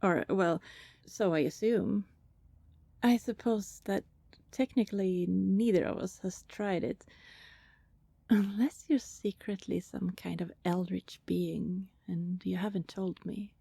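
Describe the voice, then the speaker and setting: A woman playing a friendly, teasing vampire neighbour in an intimate ASMR roleplay, chatty and casual, with a soft breathy voice that often slips toward a whisper. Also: medium pitch, slow pace, dry recording, full character voice. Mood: earnest, reflective. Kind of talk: ASMR roleplay, intimate one-sided conversation with listener.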